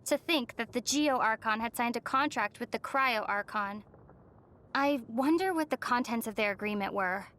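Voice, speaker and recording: A woman voicing a composed young female traveler in a video game, gentle, measured and thoughtful, with a wry edge. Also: a little high, lightly acted. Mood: musing. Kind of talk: video game character voice lines.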